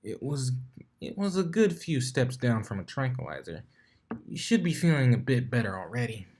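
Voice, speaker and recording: A man's voice: medium pitch, big pitch swings, moderate pace, darkish tone, voice pushed up. A defiant young male dragon from a storybook, voiced by a man. He sounds grumpy and put-upon, answering in a huffy, defensive way. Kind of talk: fan fiction reading with character voices.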